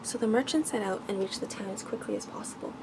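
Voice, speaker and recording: A soft-spoken woman reads a bedtime story in a gentle, careful ASMR style, often dropping toward a whisper. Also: mid-range voice, fast talker, vocal fry, background noise. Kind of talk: ASMR bedtime story reading, scripted narration.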